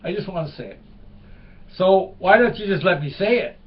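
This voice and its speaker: A man's voice: high voice, expressive, normal speed, recorded from a distance, pitched above the performer's own voice. A man playing a touchy Quebecer tourist, choppy English, lo-fi sound. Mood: exasperated. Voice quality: broken English. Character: excitable Quebecer man, touchy about country.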